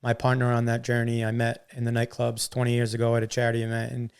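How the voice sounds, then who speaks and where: A man's voice: medium pitch, monotone, fast talker, smooth. A man talking as a podcast guest, earnest and upbeat, repeating words and using many fillers. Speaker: guest, entrepreneur. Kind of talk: casual interview chat.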